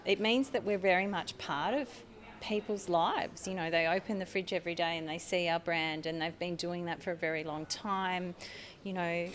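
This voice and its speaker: Australian woman, middle-aged, earnest podcast guest, lower register, faint hiss behind. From Tasmania, Australia. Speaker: marketing manager guest. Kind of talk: podcast interview.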